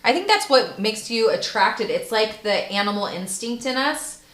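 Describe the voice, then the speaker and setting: A woman's voice: fairly high pitch, lively intonation, moderate pace, a bit bright. A woman co-hosting a casual chat show, talking in a chatty, teasing way with frequent giggles. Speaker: co-host. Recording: echoey room.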